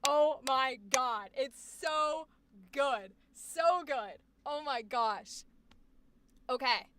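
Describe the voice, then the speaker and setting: A woman coaching voice actors on a live stream, reacting with effusive exclamations and quick asides. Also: high-pitched, fairly bright tone, a little hiss or hum. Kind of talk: live stream commentary on student recordings.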